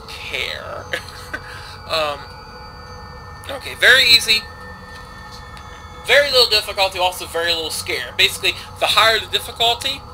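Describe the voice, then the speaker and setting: A man's voice: fairly high pitch, noisy background. A man casually commentating a game he is playing, rambling and offhand with lots of fillers. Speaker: let's play host.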